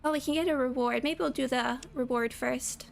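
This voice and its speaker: A woman's voice: sits high, fairly even intonation, moderate pace, creaky. A young woman streaming a game and chatting casually with viewers, a little scratchy from a cold, often laughing. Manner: chatty, giggly, greets viewers by name. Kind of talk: casual live-stream chat while gaming.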